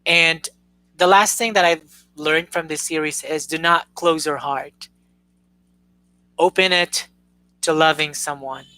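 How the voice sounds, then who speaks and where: A woman's voice: deep voice, fairly even intonation, takes it slowly, long pauses, sharp tone, rough recording. A young woman hosting a live stream, talking earnestly and gushing, often restarting her sentences. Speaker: YouTube host. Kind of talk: casual live-stream chat.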